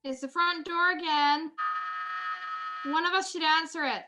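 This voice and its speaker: Woman acting a high-voiced detective's wife. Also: fairly even intonation, unhurried, music in the background. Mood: teasing. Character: detective's glamorous, teasing, anxious wife. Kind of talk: 1940s radio crime drama acting.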